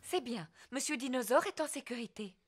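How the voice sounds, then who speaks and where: A woman voicing a caring, watchful mother pig in an expressive, maternal manner for a French cartoon. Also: high voice, fairly quick. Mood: reassuring.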